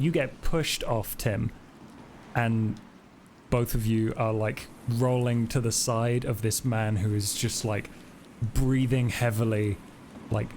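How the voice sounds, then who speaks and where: A man's voice: medium pitch, darkish tone, a little hiss or hum. A man working as game master, improvising the narration of a tabletop roleplaying game. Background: music.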